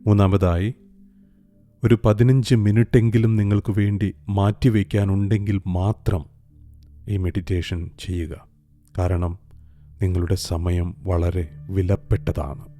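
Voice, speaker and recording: A man guiding a scripted meditation in a calm, soothing, gentle manner, pausing deliberately between phrases. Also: sits low. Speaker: meditation guide. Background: music.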